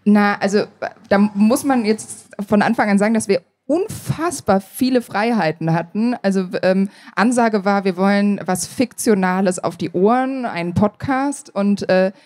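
Woman in her thirties, mid-pitched, chatty, casual live interview, handheld mic. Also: normal speed, darkish tone. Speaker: podcast author being interviewed. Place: small stage at a book fair. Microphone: handheld microphone.

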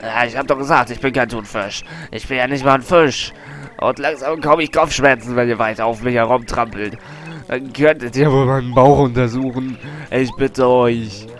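Ein Mann spielt einen jammernden großen Wal. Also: male voice, mid-range voice, fairly even intonation, normal speed, fairly bright tone, natural voice. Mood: indignant. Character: big complaining male whale with a bellyache. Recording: faint game music behind.

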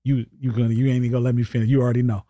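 A man's voice: mid-range voice, little pitch movement, rapid-fire, mellow. A middle-aged man hosting a casual podcast chat, blunt and slangy, close on a studio microphone. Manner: blunt, slangy, echoes and repeats remarks. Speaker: podcast host. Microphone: close podcast microphone on boom arm.

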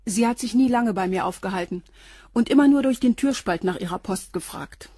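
An actress playing a reserved, respectable concierge of about forty, guarded and matter-of-fact in a radio crime drama. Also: female voice, medium pitch, brisk, warm tone, husky, no room sound, studio quality, natural voice.

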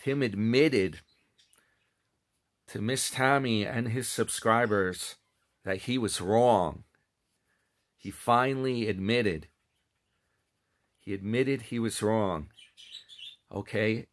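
Middle-aged male vlogger, lowish voice, chatty and wandering, close webcam sound. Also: slow pace, long pauses. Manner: rambling, self-correcting, chatty. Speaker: commentary vlogger. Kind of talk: casual commentary on another channel's videos. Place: small room at home. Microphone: webcam or phone close up.

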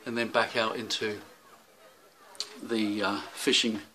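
A man's voice: on the low side, flat delivery, takes it slowly, bright, forceful tone, slight background noise, rough recording. Man, travel vlogger, handheld camera, hotel walkthrough, rambling with fillers, faint room ambience. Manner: rambling, many fillers and restarts. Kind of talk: handheld walking tour narration. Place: hotel rooms and seaside street.

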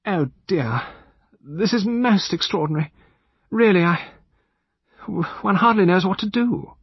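A man's voice: high-pitched, expressive, moderate pace, vocal fry, breathy edge, no echo, dull audio, voice pushed up, heavily acted. A man voicing a vague, flustered older upper-class English lady in an audiobook, with a hesitant, wavering delivery that trails off. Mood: uncertain, flustered.

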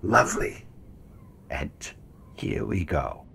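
Man doing a fussy polite photographer, slow and gravelly, kinda hesitant. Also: low voice, very animated, bright, forceful tone, slight background noise, full character voice. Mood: coaxing. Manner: courteous and slow, with hesitant fillers. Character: polite, fussy old-time portrait photographer. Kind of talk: audio drama acting.

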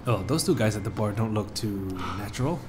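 A man's voice: fairly low pitch, expressive, a little fast, background noise. A man casually commenting over his gameplay with joking, sarcastic asides. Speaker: let's play host. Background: game music and sound.

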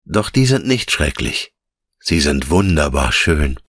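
A man voicing a wise, gentle female elf in a fairy-tale audiobook, speaking in a measured, patient and tender way. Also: very deep voice, big pitch swings, no room sound, studio quality, exaggerated voice. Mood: solemn, tender. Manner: measured, patient, lyrical explaining.

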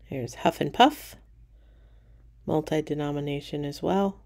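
A middle-aged woman with a low, gravelly voice narrates at an unhurried pace. She talks casually and relaxed, pointing things out as she walks through a casino, recorded on a handheld camera. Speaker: casino tour vlogger. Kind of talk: casual walking tour narration. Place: cruise ship casino, indoors.

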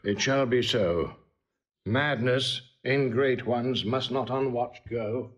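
A man's voice: mid-range voice, slow, muffled recording, lightly acted. An actor playing a shrewd, suspicious king in a classical Shakespearean audio drama, formal and commanding. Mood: suspicious, resolved.

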